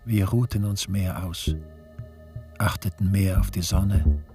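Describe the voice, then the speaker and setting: An actor playing a resigned prisoner who narrates a radio play in a sober, measured way. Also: male voice, low voice, steady tone, dark tone, faint music behind.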